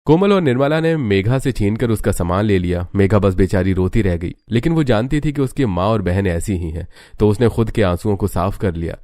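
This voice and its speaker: A man's voice: lowish voice, animated, fairly quick, few pauses, dark tone, dry recording. A man reading scripted narration for a family drama story.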